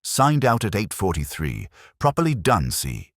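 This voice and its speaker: A man's voice: on the low side, wildly swooping pitch, a little slow, no echo, studio quality, pitched above the performer's own voice, full character voice. A man voicing a thin, nervous male records clerk in a storybook mystery, hesitant and fussy. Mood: flustered, defensive.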